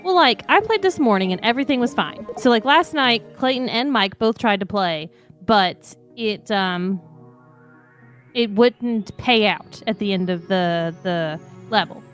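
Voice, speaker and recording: A woman co-hosting a live stream, telling stories in a lively, chatty way. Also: mid-range voice, a little slow, soft background music. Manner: animated, chatty storytelling. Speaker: stream co-host. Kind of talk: casual stream chat.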